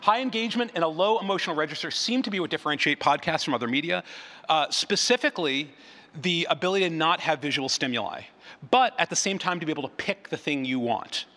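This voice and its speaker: Fast, bright-voiced man in a reverberant hall. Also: on the high side, animated. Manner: informal, conversational explaining. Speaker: conference speaker. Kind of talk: unscripted presentation and audience Q&A. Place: conference hall with audience.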